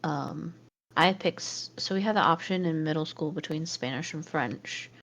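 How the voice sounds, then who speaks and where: A woman's voice: low-pitched, normal speed, fairly bright tone. A woman from California, a podcast guest, chatting casually and laughing often. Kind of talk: casual chat.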